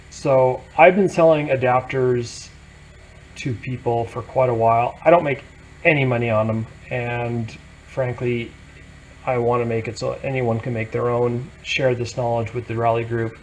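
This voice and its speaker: Male DIY presenter, casual and blunt, gravelly fry in the voice, some background noise. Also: mid-range voice, a little mellow, poor audio. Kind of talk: casual unscripted how-to explanation.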